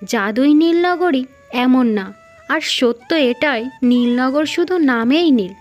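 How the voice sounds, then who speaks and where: Casting: woman as young fairy-tale cartoon princess, imperious and quick-tempered, higher-pitched delivery. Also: lively intonation, dull audio, faint music behind. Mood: angry.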